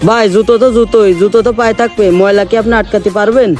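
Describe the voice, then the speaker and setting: A man's voice: sits high, level tone, very fast, darkish tone, faint music behind, close to an everyday voice. A man playing a curious, teasing village acquaintance in a comedy skit.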